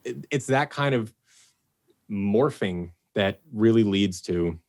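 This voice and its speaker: A man's voice: on the low side, animated, slow, darkish tone, no echo. A man who produces and voices an audio series, chatting casually on a podcast in an easygoing way. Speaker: producer and voice actor guest.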